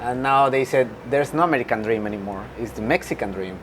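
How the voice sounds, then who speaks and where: Male interviewer, mid pitch, halting questions, music bed clearly audible. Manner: halting, restarts questions, eager agreement. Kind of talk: casual conversational interview.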